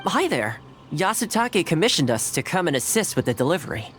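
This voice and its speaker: An actor playing a friendly, shrewd young fixer in a video game, courteous and measured. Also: male voice, on the high side, very animated, a bit bright. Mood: polite, friendly. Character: friendly, well-connected young man, polite and shrewd fixer. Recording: game music behind the voice. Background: game music.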